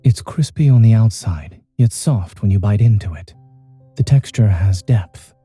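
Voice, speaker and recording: A reserved doctor from a romance game, low, very mellow and measured, the voice guiding your hand through a delicate task. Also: male voice, lively intonation, breathy edge, exaggerated voice. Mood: composed, dry. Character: reserved, composed male doctor, gently teasing companion. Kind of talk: video game voice acting. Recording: music under the voice.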